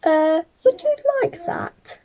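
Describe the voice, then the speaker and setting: A woman's voice: extremely high pitch, sing-song, slow pace, warm tone, pitched above the performer's own voice, exaggerated voice. A woman voicing a mild, nervous and well-meaning husband and father in a children's storybook, hesitant and polite.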